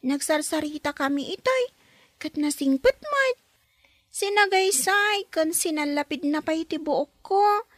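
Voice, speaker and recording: A woman's voice: sits high, expressive, measured pace, no echo. A woman playing a curious little girl. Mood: chatty. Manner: inquisitive, talks up to the adults. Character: young girl in a nanny's care, chatty and curious. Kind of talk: Ilocano radio drama acting.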